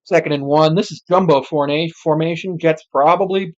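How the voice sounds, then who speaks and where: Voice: medium pitch, normal speed. Casual football livestream commentator, dull lo-fi audio. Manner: muttering asides and self-corrections. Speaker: amateur livestream play-by-play commentator. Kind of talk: casual live football play-by-play and reaction.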